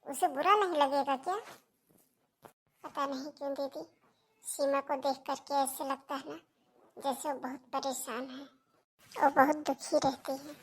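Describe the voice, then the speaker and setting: A woman voicing a kind, reasonable female relative in a cartoon family drama, with a gentle, concerned and polite manner. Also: high-pitched, fairly even intonation, a little slow, pauses often, slight background noise, rough recording.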